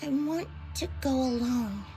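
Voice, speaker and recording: A woman voicing an earnest, emotional young girl pilot in a video game, with a girlish voice and drawn-out, emotive delivery. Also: lively intonation, dark tone, soft background music. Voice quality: high, girlish. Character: cheerful, emotional young girl pilot missing her senior.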